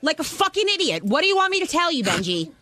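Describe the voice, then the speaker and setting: A woman's voice: sits high, big pitch swings, quick pace, pushed and bright, no echo. A woman in a heated argument, speaking in a blunt, confrontational way with plenty of profanity. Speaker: show staff member.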